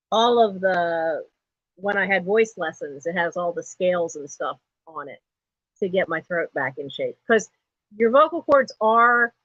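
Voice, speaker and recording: A middle-aged woman with a low speaking voice, hosting a live online sale from home in a rambling, chatty way that is full of laughs. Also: a bit bright, no room sound. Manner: chatty and digressive, laughs often. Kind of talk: rambling casual live-stream chat. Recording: dull lo-fi stream audio.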